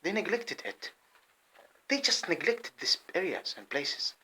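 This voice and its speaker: A man delivering an impassioned monologue to camera, heated and repetitive, swinging between loud outbursts and low muttering. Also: big pitch swings, unhurried, breathy edge, rough recording.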